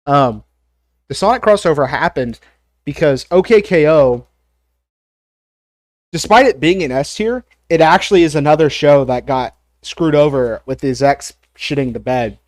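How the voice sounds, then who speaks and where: A man's voice: fairly high pitch, lively intonation, moderate pace, no room sound, clean studio sound. A congested man casually talking through his opinions on a live stream, rambling with lots of fillers and the odd swear. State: congested, coughing. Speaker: streamer ranking cartoons.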